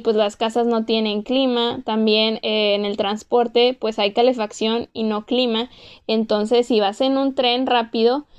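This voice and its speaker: A woman's voice: on the high side, a little mellow, clean tone. A young woman in her twenties with a Monterrey Mexican accent, casually recounting her time as an exchange student, talking conversationally with lots of fillers. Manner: conversational, frequent "pues" and "o sea" fillers. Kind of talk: casual first-person account.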